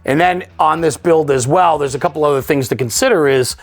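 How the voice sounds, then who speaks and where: A man's voice: a little high, a little fast, no room sound, faint music behind. A man hosting a studio interview, explaining things in an enthusiastic way. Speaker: show host interviewing a guest. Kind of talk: studio interview about fire apparatus.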